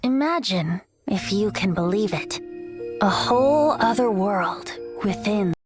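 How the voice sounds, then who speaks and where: A woman playing a whimsical bard in a game, narrating a fairy tale in a theatrical, deliberate way. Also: mid-range voice, lively intonation. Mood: wondrous storytelling. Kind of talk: scripted narration. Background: music.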